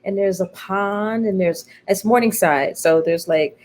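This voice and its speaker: A middle-aged American woman speaking reflectively and emphatically in a personal conversation, pausing and repeating phrases for weight. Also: mid-range voice, darkish tone, a little hiss or hum. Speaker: interview guest, playwright.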